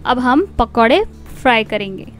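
A middle-aged woman hosting a home cooking channel, calmly explaining each step of a recipe to viewers in a voice-over. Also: on the high side, moderate pace. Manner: calm, explanatory, addresses viewers directly. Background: soft background music.

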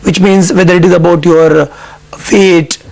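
A middle-aged man, explaining company plans in a measured, businesslike way in a news interview. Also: sits high, fairly even intonation, vocal fry, darkish tone, low-quality recording. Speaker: CFO guest.

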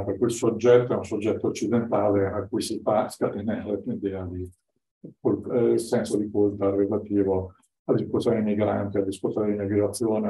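An older man speaks as a lecturer, explaining things in hesitant, informal phrases. His voice is fairly low and very soft-edged. The microphone sits well away from him in the room. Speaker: guest lecturer. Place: indoor classroom with whiteboard. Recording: roomy, distant microphone.

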